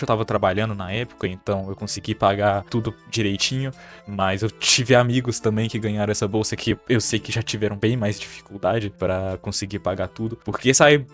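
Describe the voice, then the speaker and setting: A young Brazilian man with a Minas Gerais accent, telling a personal story to his viewers in a chatty, casual way full of tag questions. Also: lowish voice. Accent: Brazilian Portuguese, Minas Gerais. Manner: chatty, frequent tag questions, lively bursts. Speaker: vlogger.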